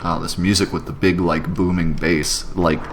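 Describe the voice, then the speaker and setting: A man's voice: low-pitched, fairly even intonation, moderate pace, faint music behind. A man chatting casually as he streams a game playthrough. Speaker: livestreamer playing a game. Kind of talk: casual livestream chat.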